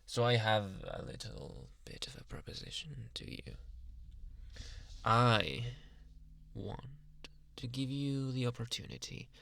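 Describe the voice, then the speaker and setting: A man playing a possessive yandere psychiatrist in an ASMR roleplay, soft-spoken, polite and quietly menacing, with hushed, insinuating questions. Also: medium pitch, gravelly, no echo. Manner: slow, hushed, insinuating, many pauses and questioning hums. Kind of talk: ASMR roleplay, close whispering.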